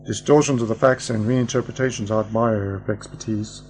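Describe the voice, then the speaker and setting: A man's voice: lowish voice, steady tone, quick pace, a little mellow, faint music behind, close to an everyday voice. A man playing an eccentric, pedantic time-travelling uncle in an amateur radio play. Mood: wry, lecturing. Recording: noisy, humming home recording.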